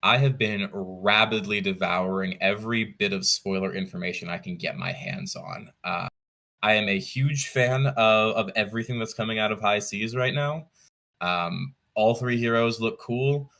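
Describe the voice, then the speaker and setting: A man with a fairly low but forward, bright voice talks as a podcast guest. He explains things casually, restarting phrases and correcting himself, with an enthusiast's eye for detail. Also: steady tone, normal speed. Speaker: podcast guest, competitive card game player. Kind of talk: casual interview storytelling.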